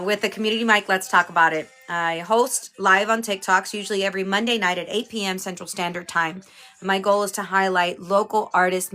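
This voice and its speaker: A woman's voice: mid-range voice, sharp tone. A woman from Houston, chatting casually as a livestream host, greeting viewers by name and sounding a little stuffy from a cold. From Houston, Texas.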